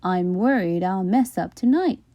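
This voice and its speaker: A woman voicing an anxious, careful little schoolgirl in an expressive storybook read-aloud. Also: medium pitch, measured pace, no room sound, natural voice. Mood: worried.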